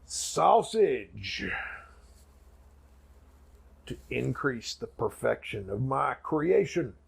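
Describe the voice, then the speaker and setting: A man playing a folksy, grandiose backwoods preacher telling a mock sermon in a raspy, gravelly voice with dramatic pauses. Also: medium pitch, very animated, heavily acted. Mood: solemn, folksy. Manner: drawn-out, folksy, dramatic pauses and sudden swells.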